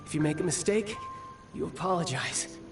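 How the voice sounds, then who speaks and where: Worn-out, playful young game warrior; slightly high voice, distant, music underneath. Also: male voice, normal speed. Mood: wry, weary. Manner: smooth, playful, teasing. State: weakened, injured. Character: injured cocky young male warrior, family-loving. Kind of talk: video game cutscene voice acting.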